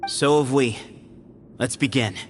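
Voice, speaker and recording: A man voicing a confident, calm young male duelist in a fantasy game. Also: medium pitch, measured pace, faint music behind, lightly acted.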